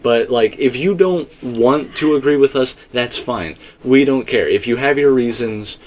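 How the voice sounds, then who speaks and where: A man's voice: medium pitch, unhurried, darkish tone. A man co-hosting a casual, unscripted discussion, talking in a rambling, conversational way full of qualifications. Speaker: co-host of a video game theory discussion.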